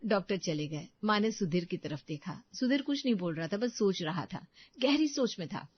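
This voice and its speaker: A woman's voice: mid-range voice, animated, normal speed, breathy edge, dry recording, lo-fi sound. Woman storyteller, very dry recording. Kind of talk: scripted dramatic narration of a moral story.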